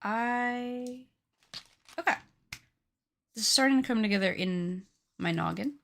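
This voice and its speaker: Woman, late twenties, streamer; bright tone with some fry; chatty, teasing, self-deprecating. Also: fairly high pitch, a little slow. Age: 20s. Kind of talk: casual live-stream chat while crafting.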